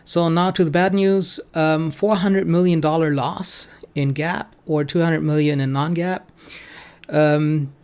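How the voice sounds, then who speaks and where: A man giving informal, analytical financial commentary as a host, speaking in a halting way with frequent ums. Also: fairly high pitch, fairly even intonation, a little mellow, clear, resonant tone. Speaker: financial commentator hosting a stock analysis show.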